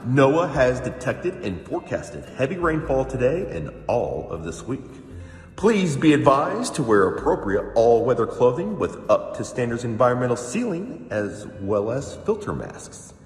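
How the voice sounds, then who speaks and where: A man playing a calm, formal official reading procedural weather and emergency bulletins in a radio drama. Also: mid-range voice, moderate pace, natural voice. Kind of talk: scripted public address bulletin. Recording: echoey long reverb with music.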